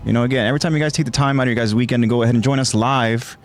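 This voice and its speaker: Male podcast host, mid-pitched, effusive and rambling, faint music underneath. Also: fairly even intonation, rapid-fire. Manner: effusive, rambling with many fillers. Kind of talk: casual live podcast chat.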